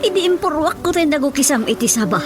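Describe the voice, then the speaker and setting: A woman's voice: sits high, animated, fast. A woman with a Filipino accent playing an anxious, superstitious rural wife and mother in a radio comedy drama. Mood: fretful. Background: music.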